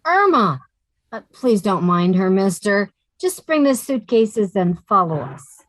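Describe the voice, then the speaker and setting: A woman's voice: mid-range voice, big pitch swings, unhurried, fairly bright tone, dry recording. A woman voicing a sensible, wry young woman who narrates in an amateur radio comedy reading. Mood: wry, friendly. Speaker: amateur radio play actor.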